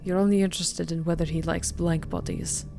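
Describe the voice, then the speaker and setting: A woman voicing a worried middle-aged man, quiet and sardonic, in a game read-aloud. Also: fairly low pitch, fairly even intonation, fairly quick, gravelly, soft background music. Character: worried, animated middle-aged Midwestern man, former athlete.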